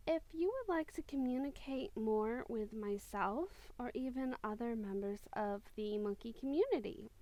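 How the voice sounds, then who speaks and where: A woman host giving a casual outro to her channel, friendly, dipping into soft whispers. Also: on the high side.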